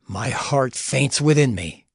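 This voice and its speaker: A man's voice: medium pitch, animated, takes it slowly, creaky, breathy edge, no room sound, studio quality, full character voice. An actor playing an anguished, suffering man who laments and pleads in an impassioned dramatized scripture reading. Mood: yearning conviction. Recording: music bed behind the voice. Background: music.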